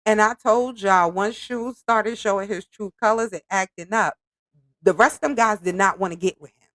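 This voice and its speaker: A woman's voice: medium pitch, normal speed, no room sound, clean studio sound. A woman hosting a podcast, chatting casually in slang with a slightly nasal voice from allergies. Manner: chatty, animated, informal slang. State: congested from allergies. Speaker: podcast host reacting to a reality show. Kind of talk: casual reaction commentary.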